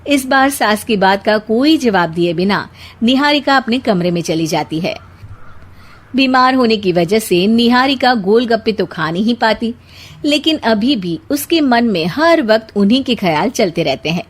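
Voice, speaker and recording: A woman's voice: a little high, expressive, measured pace. A woman narrator reading a scripted cartoon story.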